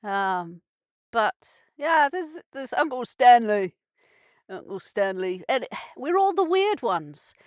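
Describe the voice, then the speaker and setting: A woman's voice: fairly high pitch, sing-song, slow, dry recording, clean studio sound. A woman who grew up in Scotland, hosting a live radio show in a chatty, rambling way and laughing easily. Her British accent carries Scottish, Cockney, Birmingham and South African traces. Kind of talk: casual live radio chat. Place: narrowboat cabin.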